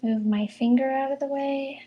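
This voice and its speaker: A middle-aged woman casually chatting through a crafting livestream, mild and soft-spoken as she thinks aloud while she works. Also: sits high, steady tone, normal speed, highs cut off. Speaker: card-making demonstrator.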